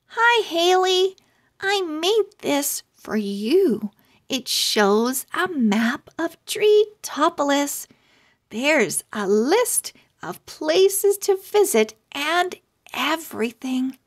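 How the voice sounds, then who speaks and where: Woman voicing high, earnest young girl owl. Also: sing-song, drawn-out words, exaggerated voice. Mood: eager. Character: earnest young girl owl, eager, sensitive diary writer.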